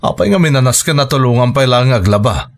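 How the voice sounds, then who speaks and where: A man's voice: medium pitch, animated, fast talker, lightly acted. A man playing a stingy, grumbling, domineering husband in an Ilocano radio drama. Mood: complaining, scolding.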